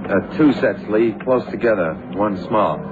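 An actor playing an observant, deferential detective's assistant in a 1970s radio drama, reporting details matter-of-factly. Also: male voice, lowish voice, a little slow, lightly acted. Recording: noisy, tinny old radio broadcast.

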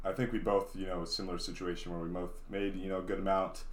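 Young man, relaxed friendly podcast host, deep-ish voice, reverberant recording. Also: fast talker. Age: 20s. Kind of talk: casual interview chat. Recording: roomy echo.

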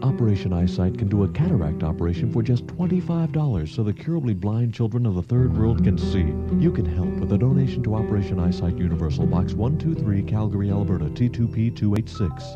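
Earnest male announcer, low voice, dull radio sound with loud music. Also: lively intonation, quick pace, few pauses. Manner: earnest, appealing. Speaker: charity ad announcer. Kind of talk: radio ad read.

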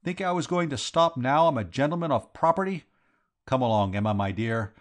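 A man's voice: mid-range voice, animated, brisk, voice pushed up, lightly acted. A man voicing a brash, gloating working-class London man who drops his aitches in a storybook reading. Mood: jubilant, brash.

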